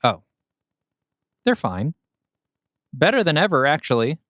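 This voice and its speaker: Young male lead, played by an actor, anxious dutiful son, hesitant and naturalistic, highly varied intonation, dry recording. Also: sing-song, unhurried, a bit bright, no room sound. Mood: defensive, casual. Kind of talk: acted dialogue in an audio screenplay reading.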